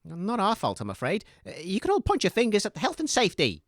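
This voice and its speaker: A woman's voice: medium pitch, wildly swooping pitch, fast, no echo, clean studio sound. A woman playing a polite quizmaster co-hosting a comedy radio panel show. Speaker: co-host and quizmaster.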